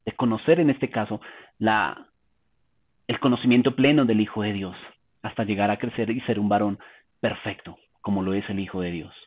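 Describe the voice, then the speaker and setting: A man preaching a teaching sermon, explaining Bible passages in a calm way and asking rhetorical questions. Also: mid-range voice. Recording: tinny phone-like sound.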